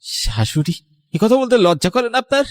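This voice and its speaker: A man's voice: high-pitched, big pitch swings, moderate pace, no echo, studio quality. A man voicing an earnest young landlord's son in love, emotional and dramatic, in a cartoon. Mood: outraged. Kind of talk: melodramatic cartoon voice acting.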